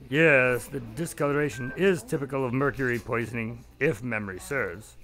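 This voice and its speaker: A man's voice: mid-range voice, moderate pace, fairly bright tone, close to an everyday voice. An actor playing a polite doctor who narrates and grows exasperated with his friend in a radio drama.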